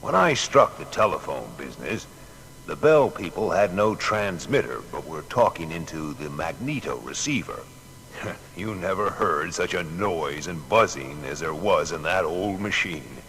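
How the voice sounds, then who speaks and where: Deep folksy inventor, reverberant hall. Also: male voice, lively intonation, measured pace, fairly bright tone, exaggerated voice. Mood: wry reminiscing. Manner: folksy, plain-spoken, colloquial. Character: Thomas Edison, folksy, boastful American male inventor. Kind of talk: dramatised first-person historical reminiscence. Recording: long hall reverb, background noise.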